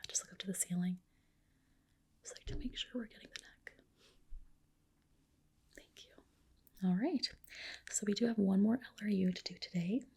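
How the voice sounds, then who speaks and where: Breathy whispering ASMR woman. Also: medium pitch. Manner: gentle, reassuring, attentive. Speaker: ASMR performer playing a medical spa clinician. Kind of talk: whispered ASMR roleplay, personal attention.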